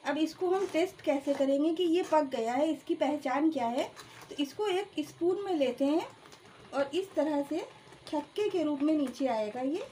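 A woman's voice: high-pitched, expressive, moderate pace, dark tone, a little hiss or hum. A woman hosting a cooking channel, explaining each step of a home recipe plainly and calling her viewers friends. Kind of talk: step-by-step cooking demonstration voice-over. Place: home kitchen.

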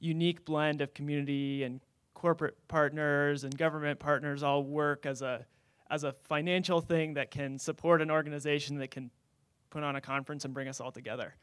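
Casting note: male board member, even and monotone delivery, clean dry sound. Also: on the high side, clear, resonant tone, dry recording. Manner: quick, earnest, self-correcting. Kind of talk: conference panel introduction. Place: conference hall on stage. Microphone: handheld mic.

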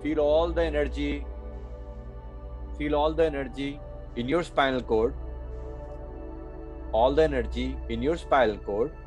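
A man's voice: medium pitch, a little slow, pauses often, darkish tone, dull audio, faint music behind. A man giving guided breathing meditation instructions, repeating short phrases as he goes.

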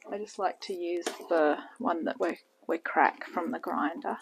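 A woman's voice: on the low side, a little slow, creaky. A middle-aged woman, chatting casually while she cooks in her home kitchen, often trailing off into muttering. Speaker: home cooking channel host. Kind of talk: casual cooking tutorial. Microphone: camera on the counter. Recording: noisy home kitchen recording.

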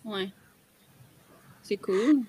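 A woman's voice: medium pitch, drawn-out words, dark tone, slight background noise. A young woman with a Québécois accent chatting casually on a video-call podcast from a room at home. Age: young adult. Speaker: podcast panelist. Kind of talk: casual chat.

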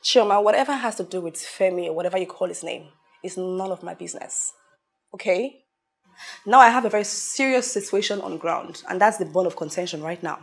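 A woman's voice: lowish voice, a little fast, vocal fry, husky. An actress with a Nigerian accent playing a self-pitying, domineering young businesswoman in a film drama, with a dramatic, repetitive, pleading delivery. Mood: tense, explaining. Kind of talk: emotional film drama acting.

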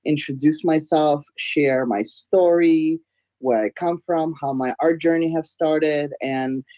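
A woman's voice: deep voice, takes it slowly, dry recording, poor audio. Giggly nasal woman. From Belarus. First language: Russian. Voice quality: a little nasal. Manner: giggly, sometimes loud, expressive. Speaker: guest artist. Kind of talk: casual interview talk. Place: home room, indoors. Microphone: webcam on a video call.

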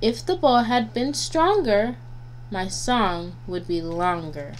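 Woman, children's rhyme reader, very unhurried, sing-song, deliberate, faint background hum. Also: mid-range voice. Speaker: guest storybook reader. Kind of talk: nursery rhymes read aloud for children. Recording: noisy home recording with hum.

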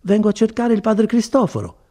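A man's voice: high-pitched, sing-song, fairly quick, dark tone, voice pushed up. A man voicing a distressed, pleading peasant woman who laments in an audiobook story. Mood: anxious. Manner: plaintive, lamenting. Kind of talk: dramatized audiobook reading.

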